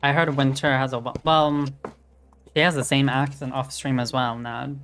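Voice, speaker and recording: A woman's voice: a bass voice, flat delivery, normal speed, faint music behind. A woman streamer chatting casually and frankly while she plays a game, with lots of filler words. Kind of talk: casual chat while gaming.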